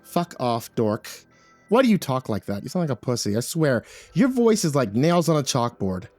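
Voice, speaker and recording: A man's voice: medium pitch, big pitch swings, moderate pace, a little mellow, no echo, soft background music. A man voicing a brash, foul-mouthed tough woman in an audiobook, barking and sardonic, with scornful teasing. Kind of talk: audiobook character voice.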